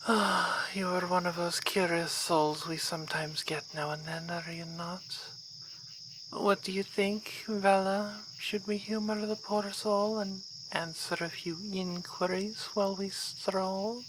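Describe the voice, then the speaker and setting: Actress as vampire baroness for ASMR roleplay: courtly, coolly teasing, very unhurried delivery, clear cricket noise around her. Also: mid-range voice, steady tone, lightly acted. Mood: amused, courtly. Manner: formal, measured, drawn-out theatrical phrasing. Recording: outdoor ambience with clear insect noise.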